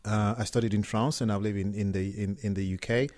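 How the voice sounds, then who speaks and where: A middle-aged man from Cameroon, a startup co-founder talking casually as an interview guest, relaxed and often restarting his words. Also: fairly low pitch, fairly quick, dry recording.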